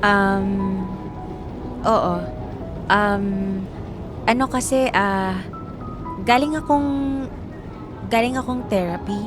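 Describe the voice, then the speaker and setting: A woman's voice: medium pitch, music in the background. A woman playing a reserved young woman, speaking hesitantly in naturalistic audio drama dialogue. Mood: awkward, hesitant. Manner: halting, hesitant.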